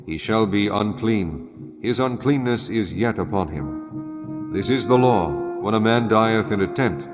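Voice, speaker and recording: Man, fairly low pitch, solemn scripture narration, formal, measured, clear background music. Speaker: audio Bible narrator.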